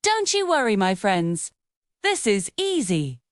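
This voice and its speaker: A woman's voice: medium pitch, very animated, takes it slowly, no echo, studio quality. A woman voicing a boastful, smug male fox in a children's animal story. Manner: showy, smug, dismissive.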